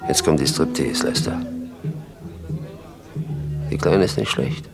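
An actor playing a cynical, talkative gangster in a German radio crime drama, coarse, gravelly and sardonic. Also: male voice, a bass voice, animated, slow, loud music behind the voice, heavily acted. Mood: gruff, sly. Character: cynical, talkative older gangster schooling a hitman.

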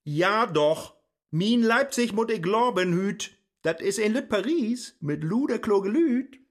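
An actor playing an impatient, excitable Faust in a comic rhymed radio play, brash and theatrical, with a Low German accent. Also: takes it slowly. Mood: impatient, bossy. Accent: Low German, northern Germany. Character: impatient, bossy Faust, excitable seeker of thrills. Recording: loud music behind the voice.

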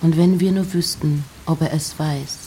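A woman giving a literary reading of poems and letters with measured, deliberate phrasing. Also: low-pitched, steady tone, background noise.

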